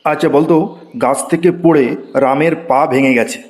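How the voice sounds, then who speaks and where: Guy voicing a grumpy cartoon teacher, keeps snapping at the class, voice on the higher side. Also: fairly even intonation, normal speed, a little mellow, lightly acted. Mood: stern. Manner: scolding, exasperated outbursts. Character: exasperated male schoolteacher, middle-aged, short-tempered. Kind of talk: Bengali cartoon comedy voice acting.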